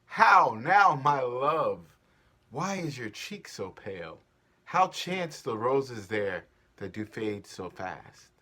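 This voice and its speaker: Man as ardent young lover, scheming, tender, dramatic, varied pitch, theatrical stage reading. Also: very animated, slow, pushed and bright, heavily acted. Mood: tender, wistful.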